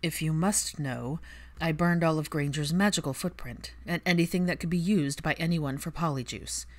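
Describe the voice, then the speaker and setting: A woman voicing a grim, unapologetic man in audiobook dialogue, with a deadpan, clipped drawl. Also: deep voice, normal speed. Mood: cool.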